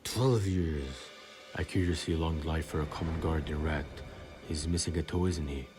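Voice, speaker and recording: A man's voice: extremely low pitch, moderate pace, over music. A man playing a vengeful, bitter wizard wronged by a traitor in an audio drama. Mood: grim, accusing.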